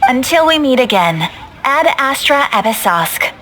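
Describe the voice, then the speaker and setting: A woman's voice: sits high, sing-song, normal speed, heavy background noise. A woman playing a composed, courteous young guild receptionist in a video game, speaking in polite, formal phrases. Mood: gracious. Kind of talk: video game character acting.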